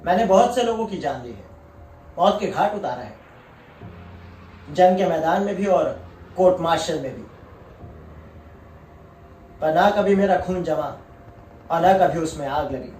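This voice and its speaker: A male actor playing a proud, merciless war-veteran colonel in a stage monologue, grave and deliberate, drawing out key phrases for emphasis. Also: a little high, expressive, darkish tone, a little hiss or hum. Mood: intense, brooding. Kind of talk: dramatic theatrical Hindi monologue. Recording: echoey room, distant mic, faint music.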